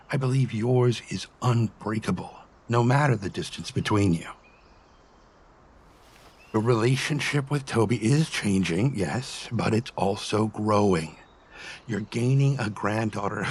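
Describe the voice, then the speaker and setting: Casting: male actor as reassuring middle-aged husband, slightly deep, breathy-rough tone, measured and sincere delivery. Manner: tender, earnest, measured. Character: gentle, reassuring husband comforting his partner. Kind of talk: emotional audio drama dialogue.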